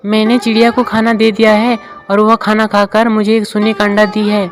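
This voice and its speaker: Casting: greedy, scheming farmer's wife played by a man, shrill and high-pitched, cartoon storybook style, music underneath. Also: monotone, moderate pace, natural voice. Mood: dutiful. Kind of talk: cartoon moral-story voice acting.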